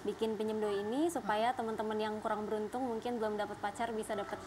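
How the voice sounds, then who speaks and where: Quick-talking young woman business owner. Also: mid-range voice, steady tone, noisy background. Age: 20s. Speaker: business owner, talk show guest. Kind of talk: casual talk-show interview.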